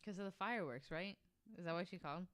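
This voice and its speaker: A woman's voice: fairly low pitch, fast, crowd noise. A woman on a podcast panel chatting casually and reacting playfully to the others. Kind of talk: casual chat.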